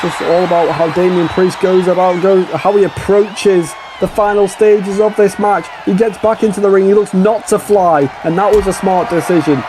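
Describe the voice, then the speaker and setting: A man calling a wrestling match play by play, excitable and often shouting over the action. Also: sits high, moderate pace, hardly any pauses. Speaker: play-by-play commentator. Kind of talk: wrestling commentary. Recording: loud crowd and noise behind.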